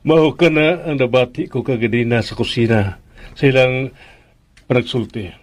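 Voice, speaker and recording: An actor playing a concerned middle-aged head of a household in a Philippine radio drama, with a Filipino accent. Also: male voice, medium pitch, unhurried, lightly acted. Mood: pleased, hopeful.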